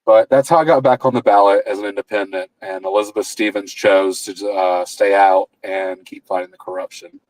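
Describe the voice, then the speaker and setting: A man in his thirties speaks in a somewhat low voice, rambling through his points and tripping over repeated words as he restarts sentences. He is a candidate talking as a guest in a casual interview over a call. The sound is dry, with no room around it. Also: steady tone, fairly quick, hardly any pauses, a little mellow, no echo, rough recording. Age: 30s.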